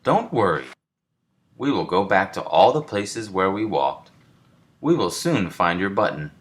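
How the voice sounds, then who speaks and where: A man's voice: deep voice, very animated, a little slow. A man voicing a helpful, eager male frog in a children's storybook, speaking in simple, encouraging exclamations. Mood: reassuring.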